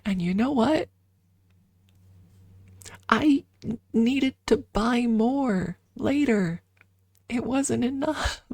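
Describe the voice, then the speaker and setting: A woman streaming ASMR, chatting casually and haltingly in a soft, breathy voice that often drops into a whisper, close to the microphone. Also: on the high side, animated, dry recording. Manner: halting, informal, drifting between whisper and soft talk.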